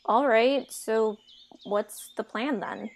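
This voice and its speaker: A woman's voice: on the high side, takes it slowly. A woman playing a sarcastic, exasperated young fairytale heroine in an audio drama, talking in casual modern phrases. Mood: irritated, dismissive.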